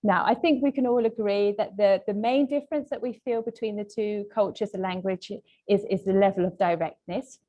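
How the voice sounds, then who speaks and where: A woman presenting a webinar lesson as a language trainer, explaining points in a polite, measured, semi-scripted way. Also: mid-range voice, mellow, highs cut off.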